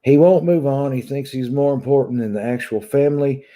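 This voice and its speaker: Dark-voiced man with a Kentucky drawl. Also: medium pitch, brisk. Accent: Southern US drawl, Kentucky. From Kentucky, United States. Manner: drawling, conversational. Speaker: YouTube vlogger. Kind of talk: casual chat to viewers, venting. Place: small room at home, indoors.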